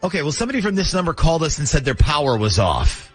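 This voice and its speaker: A man's voice: a little high, sing-song, fast talker, slightly rough, soft background music. A man hosting a casual morning radio show, talking through a prank call.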